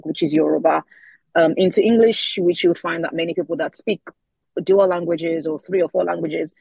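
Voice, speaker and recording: Husky-voiced Nigerian woman, thoughtful. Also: on the low side, dark tone, no room sound, rough recording. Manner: thoughtful, repeats words as she searches. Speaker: author guest. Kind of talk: interview answers.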